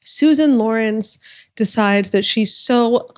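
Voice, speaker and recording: A woman lawyer host talks in a casual, emphatic way, and her voice is going hoarse. She speaks directly to her viewers. The sound is dry and close. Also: fairly high pitch, takes it slowly, no room sound. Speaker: criminal attorney and channel host. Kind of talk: casual reaction commentary to camera.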